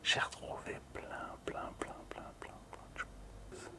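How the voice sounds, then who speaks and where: A man speaks entirely in a breathy, unvoiced whisper. He presents items in an ASMR style, soft and hesitant, lingering on words and repeating them. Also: takes it slowly. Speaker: ASMR video host.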